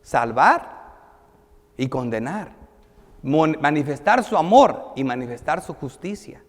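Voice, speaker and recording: High-voiced male pastor preaching in reverberant hall. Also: very animated, slow pace. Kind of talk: sermon, reading and explaining Bible verses.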